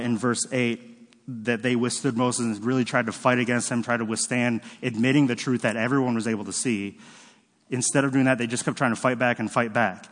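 A man preaching a sermon in a relaxed, conversational way, often stopping to correct himself and using fillers. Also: medium pitch, long reverb tail. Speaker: pastor. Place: church sanctuary.

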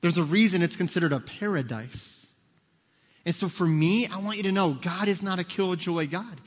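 A man's voice: high voice, normal speed. A man in his thirties preaching a conversational sermon, joking and quizzing the congregation in a church auditorium. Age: 30s. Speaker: pastor. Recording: long hall reverb.

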